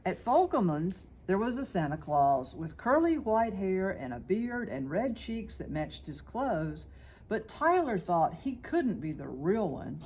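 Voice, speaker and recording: A woman reading a children's story aloud in an expressive, scripted narrating style. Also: on the low side, a little hiss or hum. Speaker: storybook reader.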